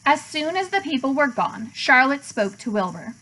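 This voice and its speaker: A woman reads a children's storybook aloud. Her voice sits a little high, and she narrates as a teacher does for young listeners. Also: big pitch swings, moderate pace, sharp tone, low-quality recording.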